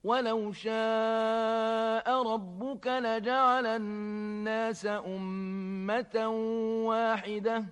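High-voiced man chanting slow melodic recitation. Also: flat delivery, fairly bright tone, smooth. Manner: drawn-out chanted phrases with long held vowels. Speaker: Quran reciter.

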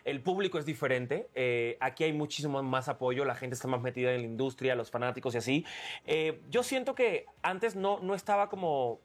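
A man's voice: medium pitch, a little fast. A man from Cuba, a guest entertainer, answering interview questions in a hesitant, casual way with many restarts and slang. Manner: hesitant, many fillers and restarts, laughing asides.

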